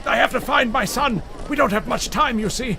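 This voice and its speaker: A man's voice: very high-pitched, moderate pace, creaky, pushed and bright, some chatter behind, a little hiss or hum, full character voice. An actor playing an agitated, grieving middle-aged father who hunts ghosts in an audio drama, speaking insistently and repeating himself with urgency. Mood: desperate.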